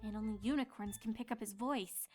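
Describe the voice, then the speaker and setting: A woman's voice: a little high, fairly quick, darkish tone, faint music behind, close to an everyday voice. A woman voicing an earnest, easily indignant young female cartoon unicorn in an insistent, bristling manner. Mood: explaining. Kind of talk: cartoon fan-fiction voice acting.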